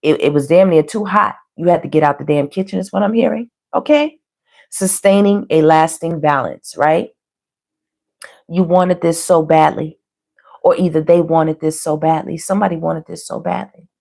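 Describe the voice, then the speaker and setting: A hoarse woman giving a casual, conversational tarot reading to online viewers, speaking colloquially and often checking in with her listeners. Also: low voice, normal speed, a little mellow. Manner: colloquial, frequently checking in with "you know" and "okay". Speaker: tarot reader hosting a live online reading.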